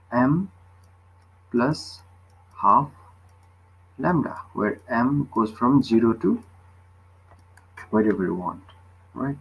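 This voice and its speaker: A man's voice: mid-range voice, vocal fry, breathy edge, rough recording. A man tutoring physics, explaining a worked problem step by step and often checking with short questions.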